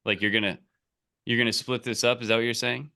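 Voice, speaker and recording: Bright, punchy male business coach. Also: medium pitch, little pitch movement, fast, gravelly. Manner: emphatic, direct, salesy, often checking with "okay?" and "right?". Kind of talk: casual coaching, explaining and giving example scripts.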